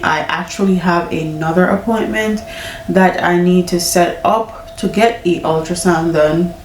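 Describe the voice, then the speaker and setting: A woman's voice: lowish voice, a little mellow, a little hiss or hum. A young woman vlogging casually about her pregnancy, candid and chatty, with a congested, stuffy nose. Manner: candid, chatty, laughing often. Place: home. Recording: echoey room, mic at a distance.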